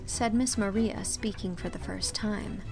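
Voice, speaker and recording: Female literary narrator; medium-pitched with a touch of fry, scripted audiobook read. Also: steady tone, measured pace, music in the background.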